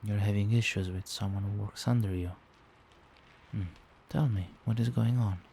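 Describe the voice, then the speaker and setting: A man playing a doting, protective vampire boyfriend in a soft-spoken, soothing and attentive ASMR roleplay. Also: lowish voice, steady tone, moderate pace, gravelly, slight background noise. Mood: tender, concerned. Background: rain.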